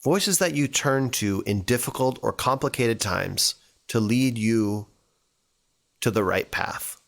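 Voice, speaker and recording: A man reading scripture and reflecting on it as a church devotional, speaking earnestly in deliberate, short phrases. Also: medium pitch, gravelly, fairly bright tone. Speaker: church staff member giving a devotional.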